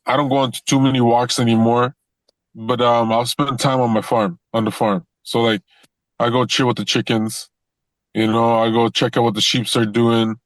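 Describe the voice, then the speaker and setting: A young man from Toronto, a pro wrestler talking casually as an interview guest, relaxed, often repeating himself and laughing easily. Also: mid-range voice, moderate pace, no echo, poor audio. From Toronto, Canada.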